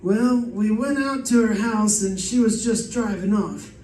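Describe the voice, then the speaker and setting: A roomy, distant stage recording of a man acting a police detective. His voice is mellow and easygoing, with matter-of-fact delivery for a radio mystery. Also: high-pitched, measured pace, a little hiss or hum. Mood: explaining. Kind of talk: old-time radio detective drama.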